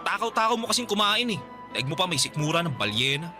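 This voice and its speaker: An actor playing a scornful, blunt young boyfriend who nags and mocks in a Tagalog radio drama. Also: male voice, fairly high pitch, very animated, fast talker, over music. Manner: mocking, blunt, nagging.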